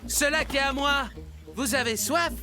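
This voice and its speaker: Cartoon cheetah: boastful young male, high voice, unhurried, showy delivery, music underneath. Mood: bossy, boastful. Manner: cocky, showy. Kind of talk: animated series dubbing. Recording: music behind.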